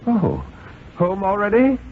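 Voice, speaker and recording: A man's voice: sits high, huge pitch swings, slow pace, narrow, tinny audio, slight background noise, voice pushed up, exaggerated voice. A man voicing a fretful, doting mother in a dramatic story reading. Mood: surprised, soothing. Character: Mrs. Carter, fretful doting English mother.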